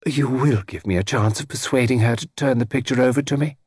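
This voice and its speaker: A man voicing an insistent, quick-tempered woman in an audiobook, pressing and coaxing to get her way. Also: medium pitch, darkish tone, husky, no echo, studio quality, voice pushed up, close to an everyday voice. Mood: sharp, insistent.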